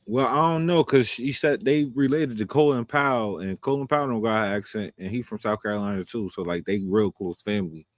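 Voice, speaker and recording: Blunt low-voiced man in casual chat. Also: a little fast, few pauses, dry recording. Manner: blunt, profane, repeats points for emphasis. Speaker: podcast host.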